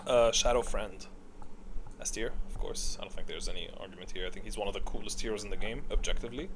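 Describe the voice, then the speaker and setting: A man's voice: lowish voice, fast, a bit bright, slight background noise. A man giving casual, unscripted gaming commentary, thinking aloud in an opinionated, rambling way. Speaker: video game commentator.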